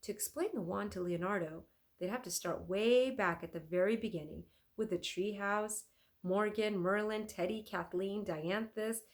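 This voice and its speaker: A woman reading a children's story aloud as a teacher would, expressive and addressing young listeners. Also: medium pitch, moderate pace.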